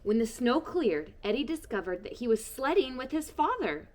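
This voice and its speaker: A woman reading a children's storybook aloud, expressive and shifting between hushed and bright tones. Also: on the high side, moderate pace. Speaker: storybook reader. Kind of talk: children's book read-aloud.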